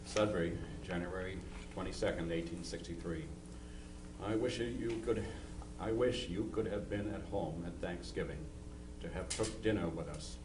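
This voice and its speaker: A man's voice: sits low, normal speed, natural voice. A man playing a solemn older nineteenth-century father who misses his children, reading a letter aloud. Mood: wistful. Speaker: amateur reader in a historical society dramatization. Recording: roomy, distant mic, hum and noise.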